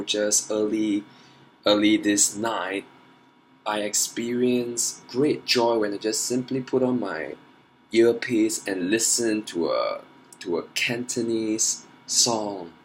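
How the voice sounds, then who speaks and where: A man's voice: fairly low pitch, takes it slowly, slight background noise, poor audio. A young man talking earnestly and emphatically to camera in a personal challenge vlog, trying to persuade his viewers. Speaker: vlogger.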